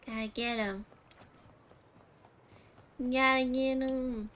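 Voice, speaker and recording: A woman's voice: high voice, fairly even intonation, extremely slow, a bit bright. A woman streaming a video game, giving playful, chatty casual commentary. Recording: faint music behind the voice.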